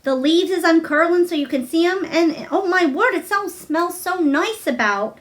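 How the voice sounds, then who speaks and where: Cheerful young Yorkshire country lad, played by a woman, high voice, eager dialect talk, for a children's storybook. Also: expressive, normal speed. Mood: delighted. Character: cheerful young Yorkshire country boy who loves animals.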